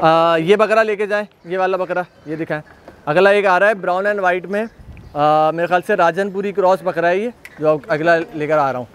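A man's voice: high-pitched, moderate pace, clear, resonant tone. Enthusiastic man touring a goat pen. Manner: enthusiastic, repeats praise often. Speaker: livestock video host. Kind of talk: casual on-site walkthrough commentary. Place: outdoor goat pen at a farm.

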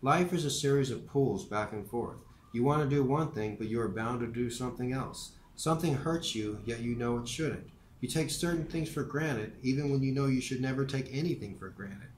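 A man voicing a frail, dying old male professor who speaks gently and calmly in an unhurried way. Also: medium pitch, a little hiss or hum, pitched above the performer's own voice, close to an everyday voice. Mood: teaching. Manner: gentle, unhurried, softly earnest. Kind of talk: audiobook character voice. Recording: roomy echo with faint ambience.

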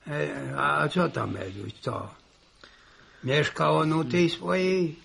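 Gravelly old village grandfather. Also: fairly high pitch, takes it slowly, fairly bright tone, full character voice. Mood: mildly chiding. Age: older. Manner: stammering repetitions, folksy sayings. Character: folksy old farm grandfather, grumbling but peaceable. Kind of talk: 1960s radio drama acting. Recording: faintly noisy 1960 radio recording.

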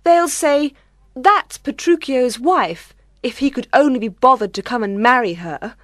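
A woman's voice: sits high, very animated, slow pace, no echo, studio quality, pitched above the performer's own voice, full character voice. A woman voicing a humiliated, complaining young bride in a storybook, nervous and plaintive.

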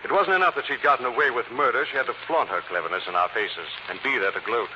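A suave, assured gentleman sleuth of an old radio mystery, played by a man, explaining articulately at a medium pitch. The recording is dull and noisy. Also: quick pace, lightly acted. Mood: explanatory. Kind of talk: 1940s radio acting.

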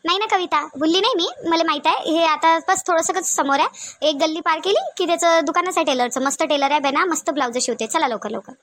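A woman voicing chatty, bustling village women in a cartoon comedy, with a Varhadi Marathi accent and colloquial, animated chatter. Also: very high-pitched, quick pace, no room sound. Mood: hurried. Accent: Varhadi Marathi from Vidarbha.

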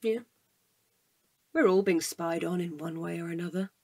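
An actress playing a pedantic, logical, wearily patient woman with a precise, deadpan manner in a comedic audio drama. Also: on the low side, normal speed, breathy edge. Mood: dry, dismissive.

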